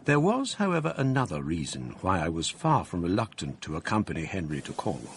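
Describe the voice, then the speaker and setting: An actor narrating as a scholar recalling his youth, measured, wry and reflective. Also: sits low, big pitch swings, slight background noise. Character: older male scholar narrating his past. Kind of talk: radio drama narration.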